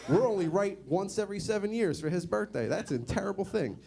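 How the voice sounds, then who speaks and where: A man's voice: high voice, lively intonation, fairly quick, vocal fry, dark tone. A young man doing a stand-up comedy set in a conversational, sardonic way, often asking the audience questions. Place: comedy club stage.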